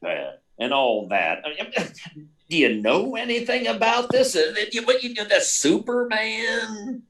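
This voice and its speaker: An actor playing a blustery, fatherly older newspaper editor in a 1940s-style radio serial, gruff and sputtering with hesitations. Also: on the high side, a bit bright. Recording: distant mic, live remote performance.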